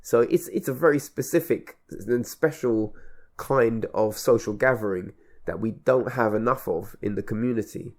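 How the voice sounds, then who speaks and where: A man with a fairly low voice talks as a radio host in a reflective conversation. He is measured and a little halting, often repeating and building on his phrases. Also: darkish tone. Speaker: radio show host and event organiser.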